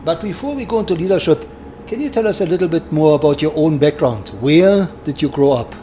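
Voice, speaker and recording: A man's voice: fairly high pitch, lively intonation, a little fast, dark tone, slight background noise. A man hosting an interview and putting questions to his guest. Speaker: interviewer, host.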